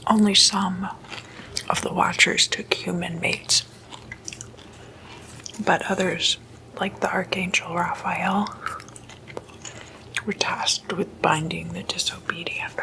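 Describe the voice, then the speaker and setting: A woman speaking in a hushed ASMR whisper, unhurried and in short halting phrases, like an informal late-night lecture. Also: lowish voice, level tone, creaky, low-quality recording. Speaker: ASMR host.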